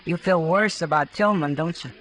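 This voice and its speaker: A woman's voice: on the low side, moderate pace, lightly acted. An actress playing a frank, worldly, caring woman of the Old West in a 1950s radio western, direct and knowing. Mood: concerned. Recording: noisy 1950s radio recording.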